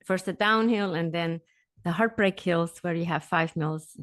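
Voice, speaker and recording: A middle-aged woman who grew up in Switzerland, a podcast guest telling her story in an animated, upbeat way. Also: lowish voice, moderate pace, dry recording. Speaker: podcast guest, executive coach. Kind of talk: conversational interview.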